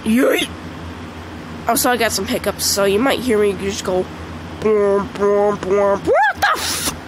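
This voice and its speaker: A woman with a congested voice chatting casually over mobile gameplay, excitable and squealing at the game. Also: mid-range voice, unhurried, background noise. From Michigan. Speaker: gaming channel host. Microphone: phone screen recording.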